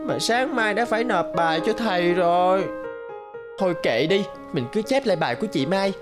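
A woman's voice: medium pitch, expressive, moderate pace, over music, natural voice. A woman voicing a sheepish little schoolboy in a cartoon, fretting to himself in a childlike, hesitant way. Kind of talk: cartoon dialogue and inner monologue.

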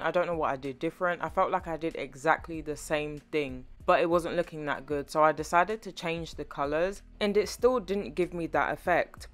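A young woman with a slightly hoarse voice chatting through a nail art tutorial voiceover, rambling and self-deprecating. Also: low-pitched. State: slightly hoarse, sore throat. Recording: voice-over with faint background music.

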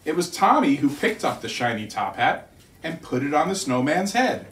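A middle-aged man reading a children's storybook aloud in an expressive, deliberate storytelling manner. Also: on the high side. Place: living room by a fireplace, indoors. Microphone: distant camera. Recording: roomy living room, distant mic.

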